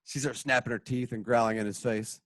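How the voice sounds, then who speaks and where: A woman's voice: very deep voice, fairly even intonation, a little fast, no room sound. A woman narrating the stage directions of an audio drama, reading scripted action and sound cues.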